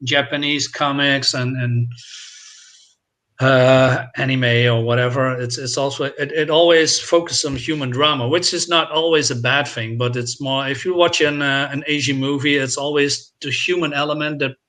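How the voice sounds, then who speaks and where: A man with a bright, forward voice at medium pitch talks casually as a co-host. He lays out his views in a measured, explanatory manner. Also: fairly even intonation. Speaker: co-host on a film talk show. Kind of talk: casual film discussion.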